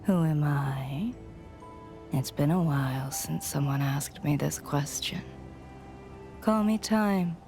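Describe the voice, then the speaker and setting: An actress playing an enigmatic, detached woman guide in a video game, speaking in a measured, formal, cryptic way. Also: sits low, music in the background.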